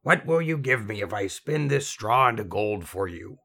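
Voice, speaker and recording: Man putting on a croaky, snappish little old goblin-like man. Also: lowish voice, animated, moderate pace, sharp tone, pitched above the performer's own voice, exaggerated voice. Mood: snappish, bargaining.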